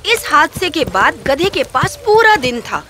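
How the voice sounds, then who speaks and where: A woman's voice: high-pitched, big pitch swings, bright, forceful tone. A woman reading a scripted children's story as the narrator.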